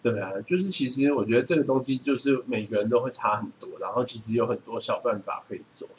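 A man's voice: medium pitch, quick pace. A middle-aged man with a Taiwanese Mandarin accent chatting casually as a podcast co-host, explaining things in a matter-of-fact way. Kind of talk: casual chat. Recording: clearly noisy background.

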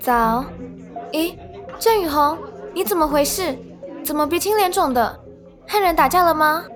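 Radio drama friend: young, considerate, concerned, high voice over faint music. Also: female voice, lively intonation, a little slow. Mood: surprised.

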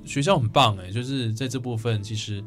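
A man's voice: medium pitch, a little fast, soft background music. A man with a Taiwanese Mandarin accent hosting a radio interview in a conversational, affirming manner. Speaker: radio host.

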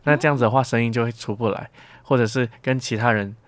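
A man's voice: medium pitch, fast talker, dry recording. A young man as a student guest in a casual radio interview, explaining things reflectively and repeating words as he goes. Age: young adult.

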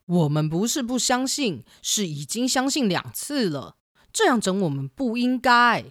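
A woman's voice: a little high, very animated, a little slow, a bit bright, dry recording, studio quality. A woman voicing a stern, distrustful villager in a children's audio story, scolding and dismissive. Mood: indignant.

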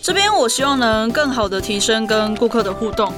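A woman's voice: a little high, expressive, a little fast, lightly acted. A woman playing an affectionate young office worker and doting pet owner in an audio drama. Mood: businesslike. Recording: music under the voice.

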